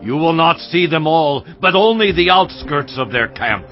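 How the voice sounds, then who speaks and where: A man's voice: fairly high pitch, lively intonation, normal speed, natural voice. An actor playing an imperious, indignant ancient king in a dramatised audio Bible, demanding and theatrical. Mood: commanding. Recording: dull audio with music bed.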